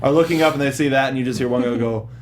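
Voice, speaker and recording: A man's voice: mid-range voice, rapid-fire, a little hiss or hum. A man co-hosting a casual movie discussion with wisecracking, sarcastic humor. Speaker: podcast co-host.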